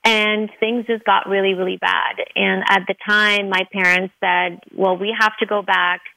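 A woman's voice: medium pitch, little pitch movement, measured pace, vocal fry, sharp tone, no room sound, low-quality recording. A middle-aged woman from Lebanon, Arabic her first language, telling her story earnestly as an interview guest. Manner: earnest storytelling, many fillers. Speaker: guest, dentist. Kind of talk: interview conversation.

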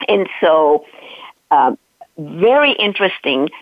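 A South African woman in her fifties, telling her life story as an interview guest, animated and earnest, often checking in with "right?". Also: sits low, takes it slowly, no room sound. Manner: earnest, animated retelling with frequent "right?" asides. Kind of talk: personal storytelling in a podcast interview. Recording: dry, tinny phone-like line.

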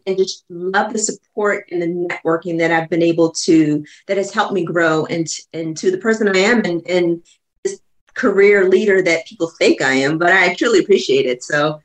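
A woman's voice: on the low side, low-quality recording. A woman guest chatting casually in an interview, filling her talk with ums and restarts. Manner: chatty, many fillers and restarts. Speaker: guest, business continuity consultant.

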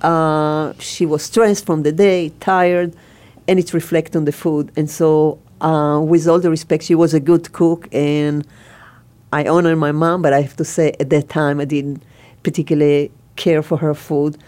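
Israeli woman, chef guest on a radio interview; low, mellow voice, unhurried and halting. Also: flat delivery.

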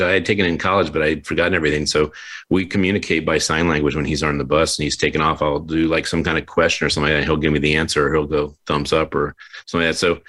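A man with a low voice hosts a conversational interview show over a video call. He is chatty and effusive with the people he talks to. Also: fairly bright tone. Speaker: show host.

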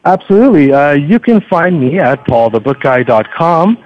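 A man speaking as a podcaster guest in a radio interview, talking conversationally and haltingly, often repeating words as he forms his thoughts. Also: fairly high pitch, animated. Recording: slightly dull, lo-fi radio sound.

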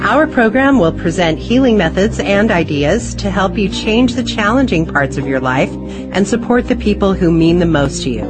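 A woman reading a scripted introduction for a radio show in a smooth announcing manner. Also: on the low side.

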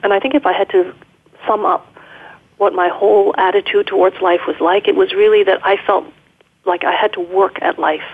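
A middle-aged woman speaking as an interview guest, reflective and measured, over a narrow phone-like line. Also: mid-range voice, vocal fry, breathy edge. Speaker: guest, leadership coach. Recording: muffled, band-limited phone-like line.

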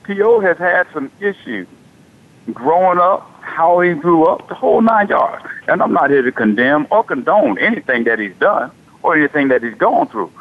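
A man in his forties hosts sports talk radio, his voice fairly high and swinging up and down with lively energy. He talks loosely and colloquially, stumbling over restarts. The sound is thin and tinny, like a phone line. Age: 40s. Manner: animated, repeats himself, colloquial. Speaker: radio show host.

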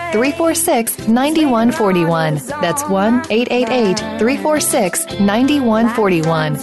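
A woman reading a scripted station announcement with phone numbers, in a clear announcer manner. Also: a little high. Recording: announcement over loud music bed.

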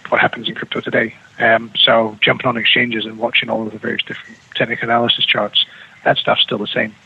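A New Zealand man speaks quickly with a bright, slightly husky tone. His voice comes over a dull, lo-fi remote line. He restarts phrases often as he explains. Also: mid-range voice, little pitch movement. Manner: fast, with frequent restarts and fillers. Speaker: guest. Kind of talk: remote radio interview. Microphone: remote call with slight lag.

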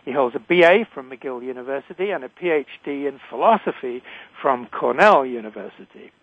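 Older british guy hosting a radio show, formal and deliberate, thin phone sound, dry. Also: mid-range voice, animated, dry recording. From Britain. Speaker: radio show host, retired physician. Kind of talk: scripted radio introduction and interviewing. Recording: narrow, phone-like line.

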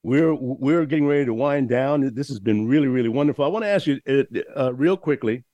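A man's voice: medium pitch, a little fast, dark tone, dry recording, muffled recording. A man hosting a radio talk show, interviewing a guest in a friendly way. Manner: friendly, wraps up with thanks.

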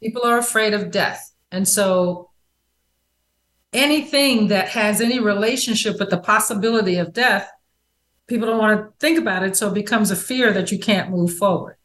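A woman guest on a radio talk show, speaking emphatically and affirming often, sometimes in quick bursts. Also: mid-range voice, fairly bright tone. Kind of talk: casual conversation. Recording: echoey room sound.

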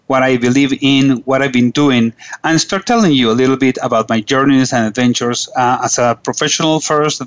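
A man's voice: medium pitch, no echo, muffled recording. Earnest Venezuelan-born male radio host. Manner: earnest, formal, with frequent restarts. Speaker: radio show host and business coach. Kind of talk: scripted radio monologue. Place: radio studio.

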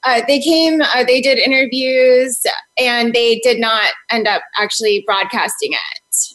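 A woman's voice: on the high side, fairly even intonation, unhurried, fairly bright tone, rough recording. A woman answering as an interview guest in short, tentative, unscripted phrases.

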